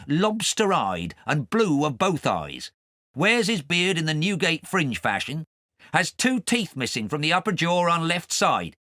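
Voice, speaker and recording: A man's voice: on the high side, bright, forceful tone, no room sound, clean studio sound. A man voicing a self-righteous, rambling sailor with a grudge, telling his confession in an audiobook. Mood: matter-of-fact. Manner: sanctimonious, aggrieved, rambling. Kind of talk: audiobook character narration.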